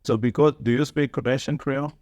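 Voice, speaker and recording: A man's voice: medium pitch, steady tone, a little fast, darkish tone, no echo, clean studio sound. A man hosting an interview show, asking questions in a friendly, upbeat way and laughing often. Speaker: host.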